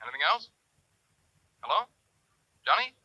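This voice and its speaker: Businesslike man on a tinny, far-off phone line, very sharp higher voice, old radio drama. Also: big pitch swings, normal speed, slightly rough, no echo, full character voice. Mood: brisk, puzzled. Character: businesslike male associate on the telephone. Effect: telephone filter. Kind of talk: 1950s radio drama acting.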